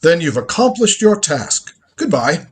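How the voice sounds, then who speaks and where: A man plays an eccentric old grandfather in a radio drama. His husky voice leaps widely in pitch. He is curt, imperious and dismissive, a crotchety elder with no patience. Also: very animated, measured pace, exaggerated voice. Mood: brusque. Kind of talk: live script reading of a radio drama.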